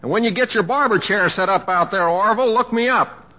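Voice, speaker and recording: Guy doing a folksy american cowboy wit in an old radio play, kinda high drawl, dull lo-fi recording. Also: fairly quick, close to an everyday voice. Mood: joking. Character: folksy, wry, kindly cowboy humorist and husband. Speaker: actor in a radio drama. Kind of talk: old-time radio drama acting.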